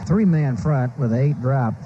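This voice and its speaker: A man's voice: mid-range voice, unhurried. An American man working as a color commentator, giving unscripted football analysis on a radio broadcast. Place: stadium broadcast booth. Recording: muffled noisy radio broadcast.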